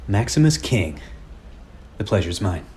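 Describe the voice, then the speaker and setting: A man's voice: fairly low pitch, very animated, a little slow, darkish tone, slight background noise. A man playing an earnest, humble, plain-spoken superhero in a radio play. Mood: reflective. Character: earnest, folksy male superhero, part shark, humble and noble. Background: music.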